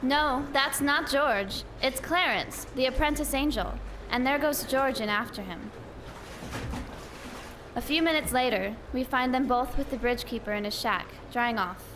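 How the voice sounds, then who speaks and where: A woman playing a dramatic narrator in a 1940s-style radio play, telling the story in a measured, theatrical way. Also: sits high. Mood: dramatic storytelling. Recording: noisy live stage recording.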